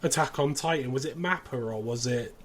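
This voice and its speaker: A man's voice: mid-range voice, a little fast. A man hosting a podcast in casual, conversational discussion, reviewing as he goes. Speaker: podcast host.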